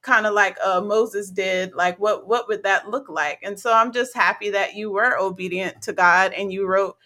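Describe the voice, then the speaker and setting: A young woman hosting a faith-based podcast interview, with a raspy, hoarse voice, talking excitedly with lots of fillers and frequent laughter. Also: medium pitch, normal speed. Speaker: podcast host.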